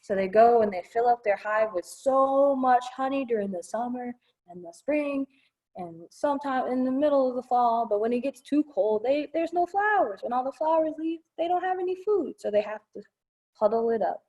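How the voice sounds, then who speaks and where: A woman's voice: on the high side, animated, normal speed, a little mellow, low-quality recording. A woman reading a children's picture book aloud at storytime, playful and sing-song, with simple asides explaining things to kids. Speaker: children's storytime reader at a public library.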